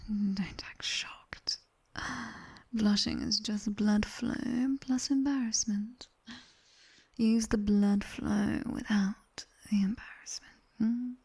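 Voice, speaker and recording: Breathy, whispering British demoness tutor played by a woman. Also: medium pitch, steady tone, a little mellow, full character voice. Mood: teasing, soothing. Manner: slow, dry, teasing instruction. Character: sharp-tongued female nightmare demon tutor, teasing yet coaxing. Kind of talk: ASMR roleplay, guided body scan. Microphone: close binaural microphone.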